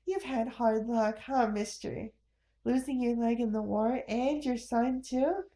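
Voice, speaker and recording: A woman's voice: a little high, fairly even intonation, measured pace, dark tone. A woman voicing a cheeky, big-hearted young boy who talks in a brash, folksy, playful way. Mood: cheerful, consoling.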